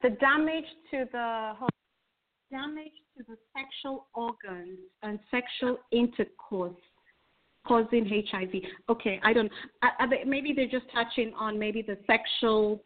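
A woman from Kenya hosts an online conversation, her voice sitting a touch high. She talks haltingly, restarting and filling gaps. The audio is thin and phone-like. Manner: hesitant, many fillers and restarts. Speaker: host. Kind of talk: casual interview over a call. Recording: tinny phone-like call audio.

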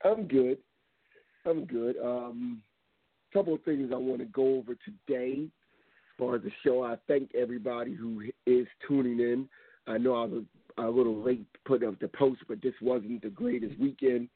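A hoarse man hosting a radio talk show, talking casually and rambling with many ums. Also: medium pitch, normal speed, no room sound. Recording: tinny phone line.

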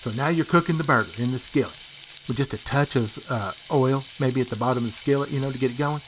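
A man's voice: medium pitch, fast talker, phone-quality sound, a little hiss or hum. A man presenting a chatty how-to radio segment in an emphatic, bragging, instructive manner.

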